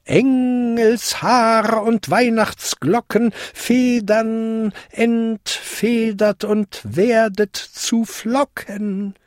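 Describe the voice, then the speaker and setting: A man's voice: high voice, big pitch swings, a little slow, darkish tone, no echo, studio quality, pitched above the performer's own voice, exaggerated voice. A man voicing a haughty little old lady, an imperious fairy godmother in a storybook, commanding and regal. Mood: chanting spell. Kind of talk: storybook character voice.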